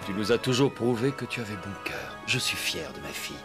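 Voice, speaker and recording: Man playing an expressive, reassuring cartoon dad. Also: lowish voice, brisk, music in the background, close to an everyday voice. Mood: encouraging. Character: gentle, encouraging father of young children. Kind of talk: French cartoon dub acting.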